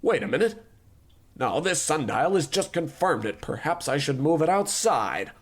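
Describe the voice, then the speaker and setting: Male actor: a self-important tycoon, high-ish voice, very lively bossy radio-comedy delivery. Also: normal speed. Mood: haughty. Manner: pompous, bossy, theatrical. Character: pompous, self-absorbed male animation tycoon boss. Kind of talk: comedic old-time radio acting.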